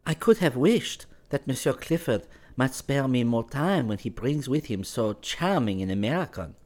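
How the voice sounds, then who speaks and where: A man's voice: mid-range voice, animated, normal speed, a little mellow, pitched above the performer's own voice, lightly acted. A man voicing a teasing young Parisian woman, sly and merry. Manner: lightly mocking, airy.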